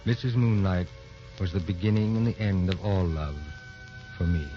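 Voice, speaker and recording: A man's voice: sits low, normal speed, lightly acted. An actor playing a dignified, sentimental widowed father, formal and earnest, in old-time radio drama style. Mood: nostalgic, tender. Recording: dull old radio recording, music behind.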